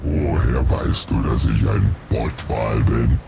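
An actor playing a huge, hungry, sardonic sperm whale with a gravelly, drawling, deadpan delivery in a children's radio play. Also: slow pace, heavily acted. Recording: loud rumbling noise over the voice.

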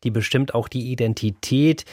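A man's voice: mid-range voice, moderate pace, darkish tone, no room sound. Man, radio host, conversational, thoughtful, dry studio. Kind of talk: radio magazine moderation.

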